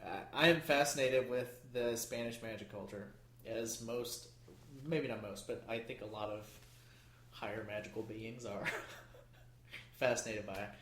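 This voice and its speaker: A man hosts a podcast interview at an ordinary middle pitch, nodding along with short affirmations and casual questions. His voice sounds echoey, as in a hard room. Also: a little slow, a bit bright, slight background noise. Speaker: podcast host. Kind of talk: conversational interview. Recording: roomy echo.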